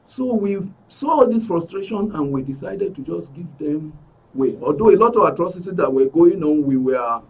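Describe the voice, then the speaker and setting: A middle-aged man with a Nigerian accent speaks as an interview guest, his voice husky and fairly high. He sits some distance from the microphone. He lays out his account earnestly, leaning on repeated phrases. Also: lively intonation, normal speed, darkish tone. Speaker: studio guest, aggrieved club member. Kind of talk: spoken account in a broadcast interview. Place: radio and TV studio.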